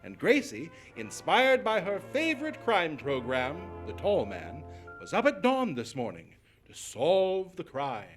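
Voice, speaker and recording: A man's voice: on the high side, huge pitch swings, a little slow, music in the background. A man playing a polished, enthusiastic 1940s-style radio announcer, declamatory and emphatic. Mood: brisk storytelling. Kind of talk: 1940s radio advertising and narration.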